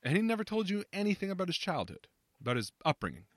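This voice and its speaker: A man acting in an audio drama as a probing, slightly impatient confidant with a measured, questioning, dry manner. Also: sits high, huge pitch swings, no echo, exaggerated voice.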